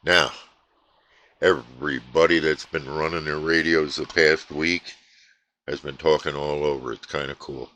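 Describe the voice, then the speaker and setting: Very deep-voiced man chatting. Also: unhurried. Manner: relaxed, rambling, many fillers. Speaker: CB radio hobbyist vlogger. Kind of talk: casual chat to camera.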